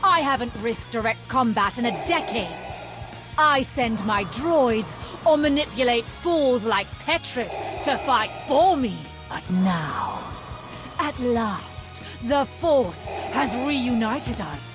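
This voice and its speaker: An actress playing a proud, obsessive Sith rival in a video game, with an intense, theatrical delivery. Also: female voice, fairly high pitch, animated, slow. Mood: menacing, brooding. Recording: loud music under the voice.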